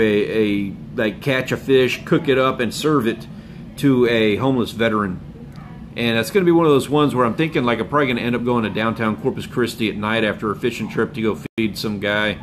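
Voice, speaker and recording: A man hosts a fishing channel live stream from home, chatting loosely with viewers and sounding hoarse from a cold. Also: mid-range voice, slight background noise. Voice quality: rambling, laid-back, with chuckles and filler words. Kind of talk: casual live stream chat. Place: home room with bookshelves and a window. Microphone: phone camera up close.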